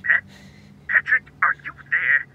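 Scheming, commanding small-town mayor, audio drama. Husky, very animated, muffled radio effect. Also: male voice, on the high side, a little slow, full character voice. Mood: urgent, commanding. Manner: curt, commanding. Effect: radio transmission filter. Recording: muffled, crackly two-way radio transmission.

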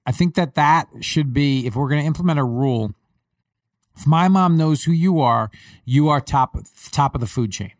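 A man hosting a casual sports podcast, with a faint Long Island accent and a relaxed way of talking that repeats words. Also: fairly high pitch, moderate pace, dry recording, clean studio sound. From Long Island, New York. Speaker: podcast host. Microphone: studio mic.

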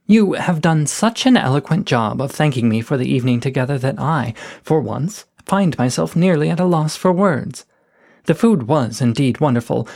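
A man voicing a shy, poetic male coyote, reading his gentle, eloquent letters aloud. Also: medium pitch, close to an everyday voice. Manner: gentle, eloquent, self-effacing.

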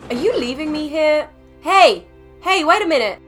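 A flustered young woman scientist, voiced by an actress, who stumbles over her words and covers her nerves with sarcasm. Her voice is bright and lively, rising and falling a lot. Soft music sits faintly under the dialogue. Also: sits high, moderate pace. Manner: nervous, stammering, sarcastic. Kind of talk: audio drama acting.

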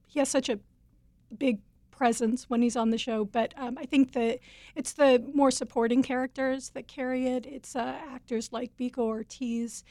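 Eager, fairly high woman critic. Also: steady tone, moderate pace, a little mellow, dry recording. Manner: enthusiastic, many fillers. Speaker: TV editor, panel guest. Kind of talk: casual panel chat.

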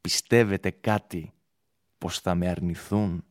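A man's voice: deep voice, fairly even intonation, dry recording, studio quality, natural voice. A man playing an ardent, ambitious young stage actor in love, in a theatrical, impassioned radio drama style. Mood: proud, confident.

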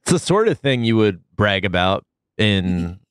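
Sick male podcast host, lowish smooth voice, dry close mic, jokey. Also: sing-song, measured pace, dry recording. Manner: loose, jokey, swooping emphasis. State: sick, deep voice. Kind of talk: casual comedic chat.

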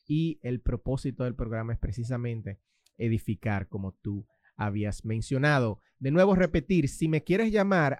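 A man's voice: mid-range voice, darkish tone, no echo. Male radio host, dry close sound. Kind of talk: radio talk show hosting.

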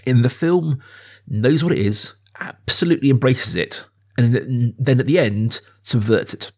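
A man's voice: medium pitch, measured pace, darkish tone. A man in his thirties hosting a casual film discussion, speaking enthusiastically and emphatically, building points through repetition. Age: 30s. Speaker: film podcast host.

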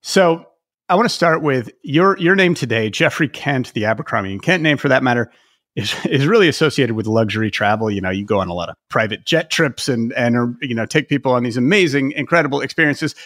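A man's voice: mid-range voice, lively intonation, brisk, fairly bright tone, no echo, clean studio sound. A man hosting a travel podcast, introducing his guest with enthusiasm and asking questions. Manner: enthusiastic, admiring, some restarts. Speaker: podcast host.

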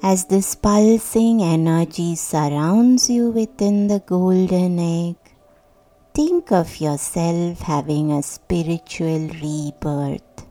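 A woman guiding a sleep meditation in a soothing, hushed, deliberate voice with long pauses. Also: lowish voice. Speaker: meditation guide. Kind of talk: guided sleep meditation, scripted narration. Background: soft meditation music.

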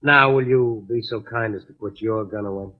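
An actor playing a cold, defiant crooked club owner in a 1940s radio crime drama, curt and guarded. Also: male voice, lowish voice, moderate pace, close to an everyday voice. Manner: curt, guarded, hard-edged. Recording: dull, lo-fi 1940s radio recording.

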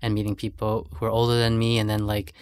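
A man's voice: on the low side, monotone, quick pace, clear, resonant tone, no room sound. A young man hosting a casual interview, talking loosely with lots of filler words. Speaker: interviewer, podcast host.

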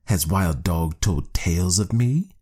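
A man doing a sly, slow-talking wild cat. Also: deep voice, animated, mellow, slightly rough, exaggerated voice. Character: proud, sly, independent male wild cat.

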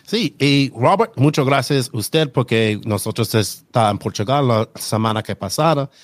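Host, male, native English speaker, halting Spanish with English slips, dry close sound. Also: mid-range voice, animated, no echo. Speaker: podcast host. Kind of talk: casual podcast intro.

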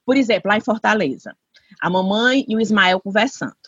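Woman, husky voice, dry muffled lo-fi mic, animated storyteller. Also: mid-range voice, brisk, no echo. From Brazil. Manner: lively anecdote-telling, acts out family members. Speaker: podcast co-host. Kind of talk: casual chat.

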